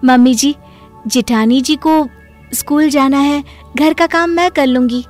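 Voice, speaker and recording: A simple, gentle young daughter-in-law from an animated family story , acted by a woman. The voice is very high and deferential, with music underneath. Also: unhurried. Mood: earnest.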